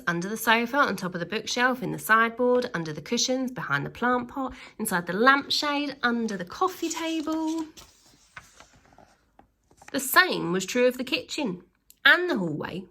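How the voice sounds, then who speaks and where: A woman reading a children's story aloud expressively, with theatrical, drawn-out emphasis on key words. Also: mid-range voice, bright, forceful tone.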